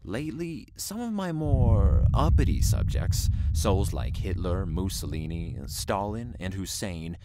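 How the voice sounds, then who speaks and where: A suave, haughty devil. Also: male voice, lively intonation, very noisy. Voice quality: deep, formal, measured delivery. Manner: haughty and indignant, sighs with weary patience. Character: suave scheming male devil, proud and theatrical. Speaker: Mr. Smith, the devil as salesman. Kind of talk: audio drama acting.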